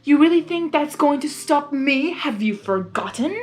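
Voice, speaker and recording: A woman's voice: high-pitched, animated, darkish tone, pitched above the performer's own voice. A woman voicing a theatrical male chaos creature, a mocking villain who feigns innocence in a story reading. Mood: smug. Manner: mocking, mock-innocent, drawn-out.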